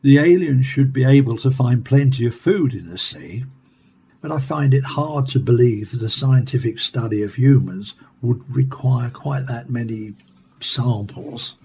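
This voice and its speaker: An actor playing a measured academic professor in a radio play, speaking formally and deliberately. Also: male voice, medium pitch, dark tone, slight background noise, close to an everyday voice. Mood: measured, speculative. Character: measured male Cambridge professor explaining alien attacks. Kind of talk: radio play acting as interviewed expert.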